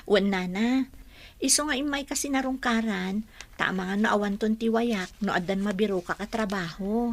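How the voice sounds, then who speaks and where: A woman's voice: mid-range voice, moderate pace, a little hiss or hum, natural voice. A woman playing an emotive, questioning, anxious young woman in an Ilocano radio drama. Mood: gentle, reassuring.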